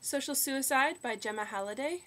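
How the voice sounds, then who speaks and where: A young woman with a slightly croaky voice from a cold, chatting upbeat about new books into a webcam in her bedroom. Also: high voice, sharp tone, a little hiss or hum. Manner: chatty, upbeat, quick asides. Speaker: book vlogger. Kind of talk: casual book haul chat. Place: bedroom at home. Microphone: webcam at desk.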